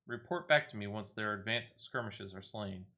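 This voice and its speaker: A man's voice: fairly low pitch, moderate pace, pushed and bright. A man giving casual gameplay commentary, partly muttering to himself and partly reading quest text aloud as he plays. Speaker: gaming video host.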